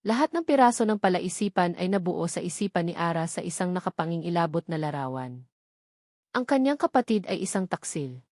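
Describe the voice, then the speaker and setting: A woman's voice: on the low side, expressive, brisk, darkish tone, no room sound, studio quality. A woman reading a scripted romance story as a dramatic narrator.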